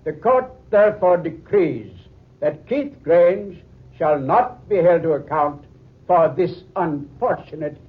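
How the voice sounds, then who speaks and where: A man's voice: fairly high pitch, lively intonation, takes it slowly, a little hiss or hum. A man acting in a 1940s radio drama as a judge, solemn, formal and official. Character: solemn, official male judge reading a verdict. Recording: muffled 1940s radio recording.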